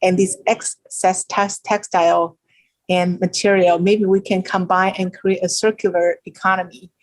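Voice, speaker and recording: Lower-pitched middle-aged woman, Taiwanese accent. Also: level tone, low-quality recording. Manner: halting, non-native phrasing. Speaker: interview guest, nonprofit founder. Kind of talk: conversational personal storytelling.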